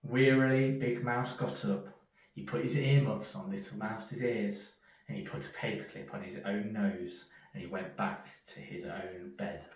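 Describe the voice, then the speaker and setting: A man reading a children's story aloud in an expressive storyteller's manner. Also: fairly low pitch, a little slow. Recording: echoey room, distant from mic.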